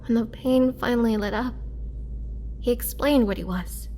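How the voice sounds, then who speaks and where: A woman playing a sorrowful, vulnerable young vampire and former farm girl, speaking hesitantly and softly in an intimate roleplay monologue, sometimes near a whisper. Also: on the high side, normal speed, a little hiss or hum, lightly acted. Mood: pained, haunted. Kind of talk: roleplay audio drama, intimate first-person monologue.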